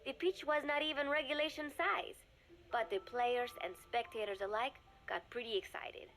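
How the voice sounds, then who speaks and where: An actress voicing an earnest young woman reading her diary aloud in a video game, calm and reflective. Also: a little high, normal speed, slight background noise. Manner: calm, reflective, softly spoken. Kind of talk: game audio diary narration.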